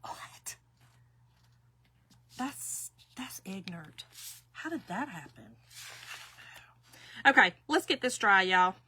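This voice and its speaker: A woman's voice: medium pitch, expressive, slow pace, long pauses, bright, forceful tone, a little hiss or hum. A woman in her fifties giving a chatty, folksy crafting tutorial, often talking to viewers as y'all. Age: 50s. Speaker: craft demonstrator.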